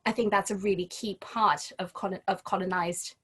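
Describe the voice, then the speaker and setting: A woman's voice: mid-range voice, steady tone, moderate pace, husky. Thoughtful woman guest. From Trinidad and Tobago. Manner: thoughtful, restarts phrases often. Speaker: guest, home educator. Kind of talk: casual conversational interview.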